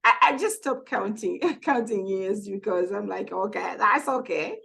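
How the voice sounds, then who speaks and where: Tanzanian-born lady, pretty gravelly voice, mid pitch, chatty with lots of restarts. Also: lively intonation, unhurried, a bit bright. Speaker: guest speaker, founder of a Swahili school. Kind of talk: casual talk to an online community. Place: home in Houston.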